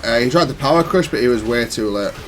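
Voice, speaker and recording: A man giving casual, analytical commentary on his own gameplay, self-critical but matter-of-fact and even-tempered. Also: mid-range voice, fast, slight background noise. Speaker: gaming content creator commentating on own matches.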